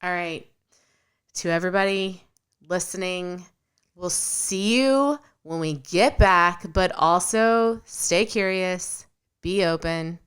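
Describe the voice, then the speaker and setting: A woman's voice: on the low side, takes it slowly, a bit bright, smooth. A woman in her late thirties co-hosting a podcast, chatting casually, swearing freely and retelling stories with animation. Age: late 30s. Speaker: podcast co-host. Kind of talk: casual chat.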